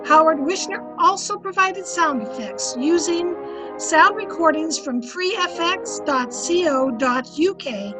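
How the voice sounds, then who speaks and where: A woman's voice: very high voice, expressive, takes it slowly. A woman host reading scripted closing credits in the style of an old-time radio announcer. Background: music.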